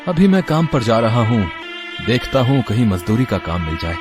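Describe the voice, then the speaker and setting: A man playing a poor, disheartened village husband in an animated moral story, with a weary, plaintive manner. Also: mid-range voice, moderate pace, lightly acted. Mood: doubting, dejected. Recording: music under the voice.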